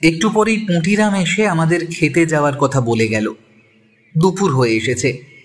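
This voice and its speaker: A man's voice: fairly high pitch, moderate pace, lightly acted. A man playing a detective's curious companion, telling the story in the first person in an audio drama. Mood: measured narration. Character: detective's male companion narrating, curious and sceptical.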